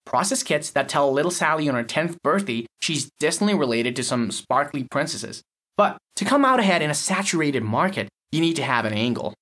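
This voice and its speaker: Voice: medium pitch, big pitch swings, a little fast, a bit bright. An actor playing an impatient, reluctant lab technician in an audio story, explaining with exasperation. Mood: exasperated.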